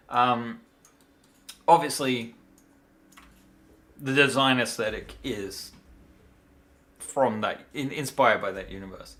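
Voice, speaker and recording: A man's voice: medium pitch, bright, forceful tone. A tired man talking casually and in a rambling way as he hosts a livestream demo. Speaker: livestream host, miniature designer.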